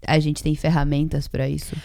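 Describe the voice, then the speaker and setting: A Brazilian woman of about thirty chatting casually as a podcast co-host, informal and quick to joke about herself. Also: low-pitched, level tone, vocal fry, mellow, dry recording, clean studio sound. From Ceará, Brazil.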